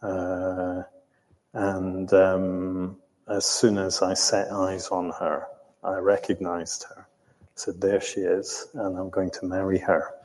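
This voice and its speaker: An older man recounting his own life in an interview, speaking reflectively in a halting, thoughtful way with long hesitations. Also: deep voice, level tone, a little mellow. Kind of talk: reflective storytelling in conversation. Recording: roomy hall with long reverb.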